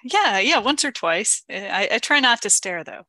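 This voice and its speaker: A woman's voice: a little high, lively intonation, unhurried, sharp tone, no echo. A woman co-hosting a podcast in playful, lightly scripted banter. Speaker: podcast co-host.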